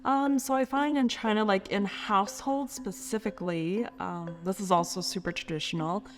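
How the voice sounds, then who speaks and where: A woman guest chatting in a relaxed, good-humored way. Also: mid-range voice, moderate pace. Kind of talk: casual chat. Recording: reverberant room with music underneath.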